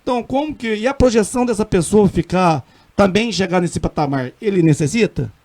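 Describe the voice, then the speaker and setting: A man's voice: high-pitched, lively intonation, fairly quick, a little mellow. A middle-aged man with a Minas Gerais accent, a city councilman speaking earnestly and emphatically in a radio interview, often restarting his sentences. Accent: Minas Gerais Portuguese. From Brazil.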